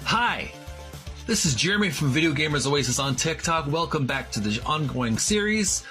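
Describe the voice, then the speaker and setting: A man hosting a video with a bright, forceful voice that rises and falls a lot. He speaks in deliberate, emphatic chunks, breaking up his phrases as he presents and reads aloud. Music plays faintly behind him. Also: on the high side, big pitch swings. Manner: deliberate, emphatic, broken phrasing when reading. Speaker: host of a video series on retro game art. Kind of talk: casual presenting and slow, halting read-aloud from a book.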